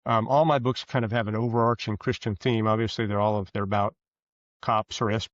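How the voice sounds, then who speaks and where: A man giving conversational interview answers as a guest author, in a hesitant, halting way, often correcting himself. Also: fairly low pitch, little pitch movement, dry recording, dull audio.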